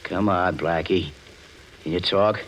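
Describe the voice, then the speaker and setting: A man's voice: deep voice, unhurried, poor audio. A man acting a callous gangster henchman in 1940s radio style, tough, curt and slangy. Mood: menacing. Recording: old radio recording with faint noise.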